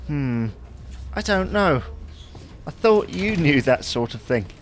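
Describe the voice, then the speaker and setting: A man acts out a reluctant, self-conscious husband who grumbles through a domestic audio comedy. His pitch sits on the high side and swings around a lot as he complains. There is noise in the recording. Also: sing-song, slow. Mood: grudging.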